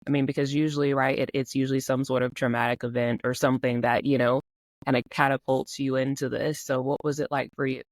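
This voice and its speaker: A woman hosting a podcast interview, encouraging her guest with many quick affirmations. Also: extremely low pitch, level tone, dry recording. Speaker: podcast host.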